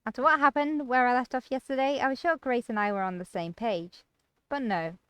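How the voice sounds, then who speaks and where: A woman's voice: fairly high pitch, fairly quick, a bit bright, smooth, no room sound. A woman streamer reading visual novel narration expressively, with casual asides to viewers. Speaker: game streamer.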